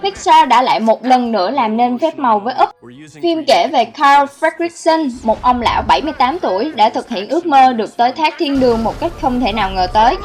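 A woman's voice: fairly high pitch, expressive, a little fast. A woman reading scripted narration as the host of a countdown video. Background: background music.

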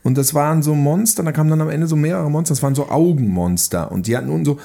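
A man's voice: on the high side, quick pace, vocal fry, dark tone. A man chatting casually on a film podcast in a loose, colloquial way, often tagging his remarks with short questions. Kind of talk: casual chat.

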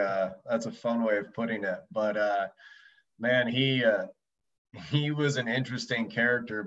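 A man's voice: medium pitch, fairly bright tone, dull audio. A man who used to play pro baseball, chatting casually and telling stories in a colloquial, slangy way as a podcast guest. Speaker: podcast guest, former professional baseball pitcher. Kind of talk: casual chat, storytelling.

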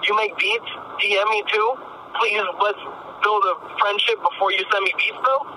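A man's voice: high voice, steady tone, moderate pace, pushed and bright, a little hiss or hum, low-quality recording. A man talking casually and bluntly as an interview guest, slangy and prone to swearing, on a call from a car. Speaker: music artist, interview guest. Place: in a car while driving.

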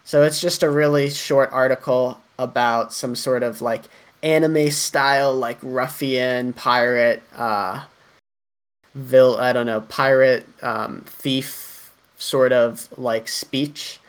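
A man co-hosting a relaxed podcast chat at an ordinary middle pitch. He rambles and restarts often, padding his talk with fillers as he explains things. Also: slow, clean tone. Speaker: podcast co-host.

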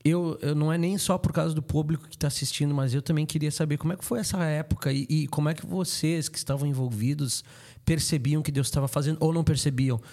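A man's voice: on the high side, fairly even intonation, fast talker, a little mellow. A man hosting a podcast, who greets listeners in a welcoming way and asks his guest open questions. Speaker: podcast host.

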